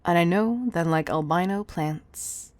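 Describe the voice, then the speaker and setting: Woman acting stern, low-voiced female CEO. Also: a little mellow, no echo, close to an everyday voice. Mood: measured, lecturing. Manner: exasperated, clipped, deliberate emphasis. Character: stern, commanding female CEO scolding an employee. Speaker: audio roleplay performer. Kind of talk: scripted audio roleplay monologue.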